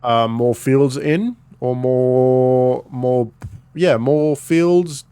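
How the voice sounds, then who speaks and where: A man's voice: medium pitch, drawn-out words, dark tone, smooth, dry recording. A man giving casual, rambling vlog commentary while he plays a game, often correcting himself as he goes. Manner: rambling, self-correcting, chatty. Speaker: gaming video host.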